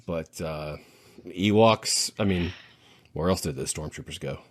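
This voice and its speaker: Very deep-voiced man in casual banter. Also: slow pace. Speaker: podcast panelist and co-host. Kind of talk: casual playful panel chat.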